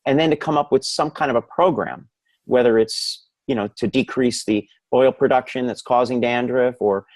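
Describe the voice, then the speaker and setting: A man's voice: mid-range voice, no echo. Dry-sounding male doctor explaining things. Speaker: hair restoration physician, expert guest. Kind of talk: conversational expert explanation in an interview.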